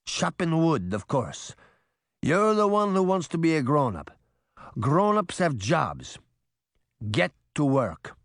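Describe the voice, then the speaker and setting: A man playing a stern, indignant old father in a storybook, scolding forcefully. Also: fairly high pitch, big pitch swings, takes it slowly, long pauses, dry recording, clean studio sound, voice pushed up, exaggerated voice. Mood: firm.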